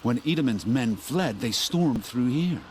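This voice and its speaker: An actor playing a distraught middle-aged father in a video game, speaking in an anguished, halting, emphatic way. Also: medium pitch, lively intonation, darkish tone, a little hiss or hum, natural voice, rough recording. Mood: sorrowful, regretful. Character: distraught middle-aged father searching for his missing daughter. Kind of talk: video game character acting.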